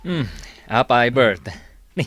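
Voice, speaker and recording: A man's voice: medium pitch, expressive, takes it slowly, over music. A man playing a mild, apologetic village neighbour in a radio drama, speaking in a hesitant, conciliatory way. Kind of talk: Ilocano radio drama acting.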